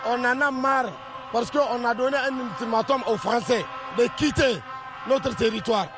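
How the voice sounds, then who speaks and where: Very high-pitched male protester. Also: moderate pace. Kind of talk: impassioned street statement. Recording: music over the voice.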